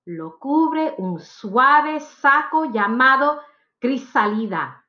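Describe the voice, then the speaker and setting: Woman, 30s, teacher read-aloud: high, animated voice, deliberate phrasing, slightly muffled lo-fi home recording. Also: a little hiss or hum. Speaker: teacher reading picture books aloud. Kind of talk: slow, expressive read-aloud for children.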